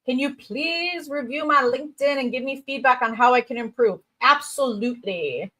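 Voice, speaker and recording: Woman, 30s, Chicago accent, upbeat recruiter host, somewhat high voice, casual livestream patter. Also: bright, forceful tone. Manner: bubbly, chatty, quick asides and fillers. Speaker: crypto recruiter hosting a livestream. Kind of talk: casual livestream chat reading viewer comments.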